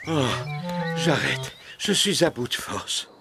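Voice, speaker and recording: A man's voice: a little high, sing-song, takes it slowly, over music, exaggerated voice. A man voicing a big, jolly, boastful and bumbling father pig in a French-dubbed preschool cartoon. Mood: exhausted. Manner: hearty, boastful, hesitant ums.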